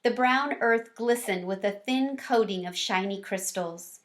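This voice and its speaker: A woman reading a children's storybook aloud in an expressive, animated way. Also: mid-range voice, a little slow. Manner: animated, with varied character lines. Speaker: read-aloud teacher or storyteller.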